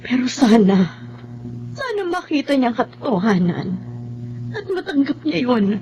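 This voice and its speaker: A patient middle-aged mother in a radio drama. Her highish voice moves expressively as she gently pleads and counsels, with faint noise behind. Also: sing-song, unhurried, muffled recording, full character voice. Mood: sad, gentle.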